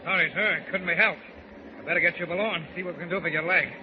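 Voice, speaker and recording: An actor playing an anxious, dutiful sailor, urgent and deferential, in an old-time radio drama. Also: male voice, high-pitched, fast, lightly acted. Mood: apologetic. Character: anxious, dutiful sailor reporting to his officer. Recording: muffled, very noisy old radio recording.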